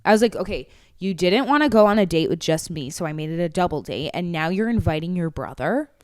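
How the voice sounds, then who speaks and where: A woman's voice: lowish voice, expressive, no echo, studio quality. A woman in her twenties co-hosting a podcast, telling candid, profane dating stories in casual chat. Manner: candid storyteller, swears freely, quick laughs. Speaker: podcast co-host.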